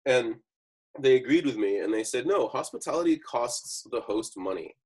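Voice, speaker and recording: A man's voice: mid-range voice, expressive, normal speed, a little mellow, slightly rough. A man who works as a bartender, chatting casually and explaining at length with lots of fillers. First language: English. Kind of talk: casual conversation.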